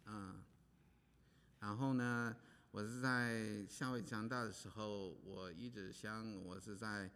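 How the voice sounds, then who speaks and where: A man from Hawaii speaking as a pastor, halting with many pauses and fillers while an interpreter follows him. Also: lowish voice, fairly even intonation, fairly bright tone. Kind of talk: preaching with interpreter. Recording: long hall reverb.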